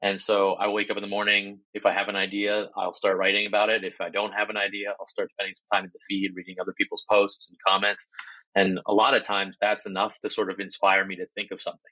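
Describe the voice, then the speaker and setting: Bright-voiced man, easygoing guest. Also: fairly low pitch, fast talker, no room sound, rough recording. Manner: relaxed, self-deprecating, frequent ums and restarts. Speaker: podcast guest. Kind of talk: casual interview talk.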